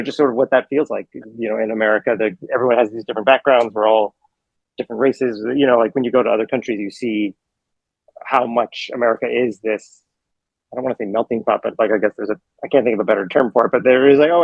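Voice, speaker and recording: Rambling man with a gravelly, muffled voice. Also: mid-range voice, quick pace, no echo. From Hawaii. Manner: rambling, filler-heavy, self-correcting. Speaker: film director. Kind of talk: casual interview answers.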